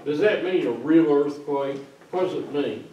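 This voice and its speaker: A man's voice: a little high, moderate pace, lo-fi sound, noisy background. An older man, a pastor giving a folksy, teasing children's sermon while seated among the kids in a small church. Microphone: far camera. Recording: roomy church sound from far off.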